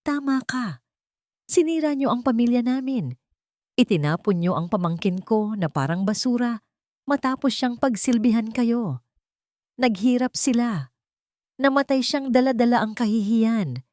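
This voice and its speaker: A shrill elderly nanny full of venom and bitterness, acted out by a woman storyteller. The voice rides high with menace, like a villain in a dramatic audio story. The sound is dry and close. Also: animated, a little slow, long pauses, darkish tone, dry recording. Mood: furious, bitter.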